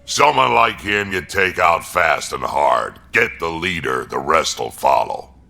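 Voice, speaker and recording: A battle-hardened male alien warrior from a video game, speaks in a rock-bottom, gravelly voice with a hard, forceful edge. He is blunt and ruthless, clipping his words like a soldier. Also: expressive, takes it slowly, soft background music, full character voice. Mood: grim, ruthless. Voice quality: very deep, gravelly.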